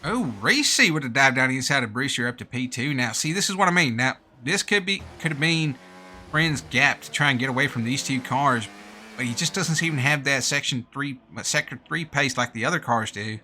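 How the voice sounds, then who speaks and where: A man's voice: medium pitch, expressive, brisk, pushed and bright, a little hiss or hum. A man doing live sim-racing commentary in a put-on American accent, informal and slangy, with casual asides. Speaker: race commentator and streamer.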